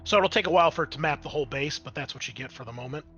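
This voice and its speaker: A man's voice: on the high side, rapid-fire, pushed and bright, highs cut off, faint music behind. A man working as game master, narrating a tabletop roleplaying game in a casual, off-the-cuff way.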